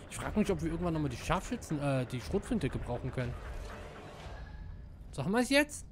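A man giving casual live gameplay commentary, excitable and slangy, dropping in English gamer words. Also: a little high, slight background noise. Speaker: let's player.

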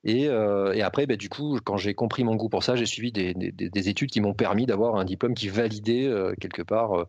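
Low gravelly french dev guy in his 40s, halting, lots of euhs, muffled dull line. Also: darkish tone, no room sound. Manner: hesitant, frequent pauses and repeated words. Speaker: guest software developer. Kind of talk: casual interview answers.

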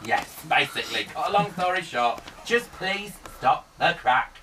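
A man's voice: fairly high pitch, lively intonation, moderate pace, distant mic, noisy background, close to an everyday voice. A man playing a camp, flamboyant male psychic, cooing "darling" at callers in a radio comedy bit. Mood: mock-mystical. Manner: camp, mock-mystical, coos "darling" and "sweetheart". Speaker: studio guest psychic. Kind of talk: improvised comedy character on radio.

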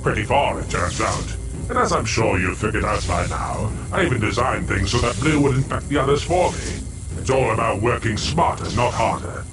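A man's voice: medium pitch, lively intonation, normal speed, lightly acted. A man voicing a gloating red monster mad scientist in a cartoon, theatrical, condescending and smug. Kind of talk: animated cartoon villain acting. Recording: loud music behind the voice.

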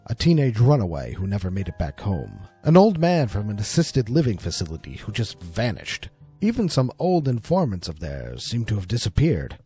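Male storyteller for scripted drama: low register, lively intonation, faint music bed. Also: normal speed, slightly rough. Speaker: narrator.

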